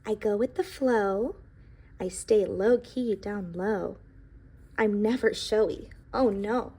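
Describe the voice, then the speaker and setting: A woman's voice: mid-range voice, slow, dark tone, slightly rough. Modest, bashful little pie voiced by a woman. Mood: modest, earnest. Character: humble, modest, self-effacing male pie from a picture book.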